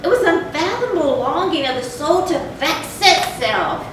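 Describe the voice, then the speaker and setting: A woman playing a tormented, confessing animal lover turned cruel drunkard, in a deliberate, formal, theatrical reading of a gothic horror story. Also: very high-pitched, lively intonation. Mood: remorseful, brooding. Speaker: readers theatre performer. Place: large echoey room, far from the mic. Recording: very echoey, distant, noisy live hall recording.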